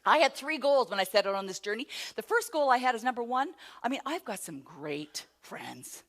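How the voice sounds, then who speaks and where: Middle-aged woman, motivational speaker; high, very lively voice, self-mocking comic storyteller. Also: moderate pace. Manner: animated, self-mocking, rising to loud exclamations. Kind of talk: storytelling keynote talk. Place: before a live audience.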